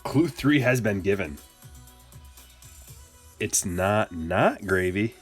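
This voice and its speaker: A very deep-voiced man from Michigan riffs playfully with his chat while opening packs of cards. He rambles and jokes in a loose, unscripted way, with faint music playing softly behind him. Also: lively intonation, takes it slowly, slightly rough. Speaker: card-break streamer.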